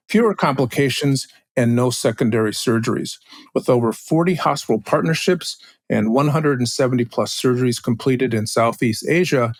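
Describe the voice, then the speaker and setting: A man's voice: mid-range voice, dry recording. A male podcast host introducing a guest and asking friendly, upbeat questions with fillers. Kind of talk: podcast interview.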